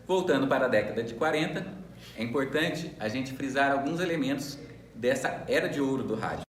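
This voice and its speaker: A man's voice: medium pitch, expressive, brisk. A man with a Brazilian Portuguese accent co-hosting a student radio program in a semi-scripted presenting style. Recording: roomy echo, faint background noise.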